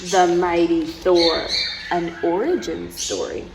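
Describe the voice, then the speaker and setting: A woman's voice: lowish voice, sing-song, slow, heavy background noise. A woman reading a picture book aloud to children, with playful asides and dramatic emphasis, speaking straight to her young listeners.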